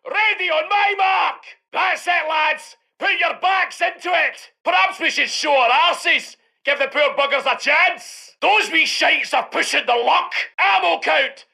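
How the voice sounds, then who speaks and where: A man voicing a brash, foul-mouthed Scottish heavy tank commander in a war video game, with a gravelly, commanding delivery. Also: very high voice, sharp tone, full character voice. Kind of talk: military game unit voice lines.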